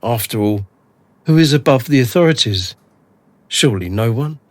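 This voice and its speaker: A man's voice: mid-range voice, lively intonation, normal speed, no room sound, natural voice. An actor playing an anxious, bewildered kidnapped family man in a radio drama, blunt and colloquial in his way of talking. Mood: worried, agitated.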